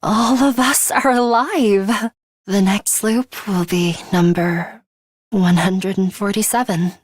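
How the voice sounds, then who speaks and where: A woman voicing a composed, battle-hardened young woman in an English video game, speaking in a deliberate, matter-of-fact way. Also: medium pitch, steady tone, no room sound, studio quality. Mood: quiet resolve. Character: young woman, composed battle-hardened time-looper, coolly urgent ally.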